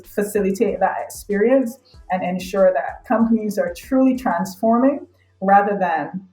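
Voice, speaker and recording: A woman's voice: medium pitch, darkish tone. A middle-aged woman from Barbados, explaining conversationally as an interview guest. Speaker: interview guest, academic and consultant. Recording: echoey room sound.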